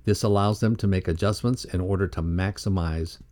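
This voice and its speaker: A man co-presenting a motivational podcast lesson, reading scripted text aloud in a measured, instructional way. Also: low-pitched, fairly even intonation, darkish tone.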